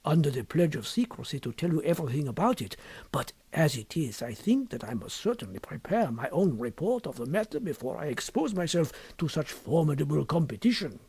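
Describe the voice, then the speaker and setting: A man voicing a sly, impassive, secretive scholar in an audiobook story, with measured, deliberate and formal phrasing. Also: fairly high pitch, very animated, a little mellow, slightly rough, no echo, exaggerated voice. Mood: smug, secretive. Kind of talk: audiobook character dialogue.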